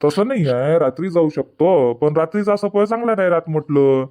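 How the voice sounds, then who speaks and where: A man's voice: a little high, lively intonation, moderate pace, mellow, close to an everyday voice. A man voicing a stubborn middle-aged village farmer husband in a comic cartoon, grumbling back. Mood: arguing. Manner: grumbling, placating, arguing back. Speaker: cartoon voice actor.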